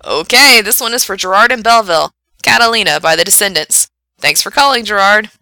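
A woman playing an excitable, chatty young male late-night radio DJ in a story, enthusiastic and teasing. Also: medium pitch, a little fast, no echo. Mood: excited, teasing. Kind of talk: radio DJ patter in an audiobook story.

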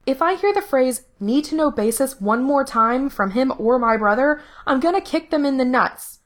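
Woman acting a high-pitched, exasperated woman rebel. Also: very animated, normal speed, a bit bright, full character voice. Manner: brash, snappy, impatient. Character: exasperated, tough, sharp-tongued woman rebel fighter. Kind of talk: acted audiobook dialogue.

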